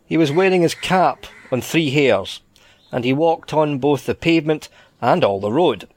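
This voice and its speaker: Animated male storyteller spinning a yarn. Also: medium pitch. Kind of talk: dramatic scripted narration of a sea yarn.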